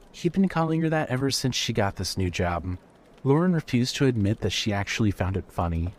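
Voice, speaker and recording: A man's voice: fairly low pitch, a little mellow. A man giving scripted narration of a fiction story.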